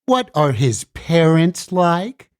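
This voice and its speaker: A woman's voice: sits low, sing-song, takes it slowly, darkish tone, no room sound, studio quality, full character voice. A woman playing a frantic, world-weary banned book in a musical puppet show, urgent and dramatic as it warns and interrogates. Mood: pressing, sardonic.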